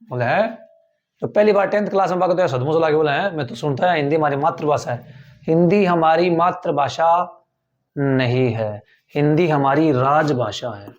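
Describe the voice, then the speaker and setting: A man's voice: on the high side, normal speed, lo-fi sound. A male teacher giving a tenth-grade civics lecture, repeating key points and asking the class rhetorical questions.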